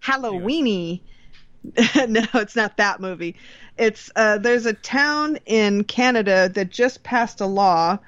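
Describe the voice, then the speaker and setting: A woman's voice: mid-range voice, slow pace, fairly bright tone, dry recording. A woman hosting a podcast in casual chat, with an easygoing, agreeable way of talking. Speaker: podcast host. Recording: dull, dry lo-fi mic.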